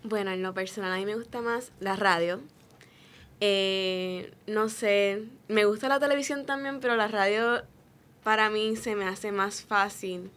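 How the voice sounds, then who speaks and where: A woman's voice: mid-range voice, steady tone, measured pace, a bit bright. A young woman giving straightforward, explanatory answers as a guest in a conversational interview. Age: young adult. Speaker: interview guest, radio production student.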